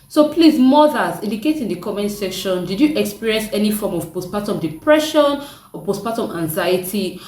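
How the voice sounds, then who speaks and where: A young woman with a deep voice, chatting casually to her viewers and laughing often. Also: darkish tone, distant mic. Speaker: vlogger. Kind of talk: casual chat to camera. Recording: echoey room, mic set back.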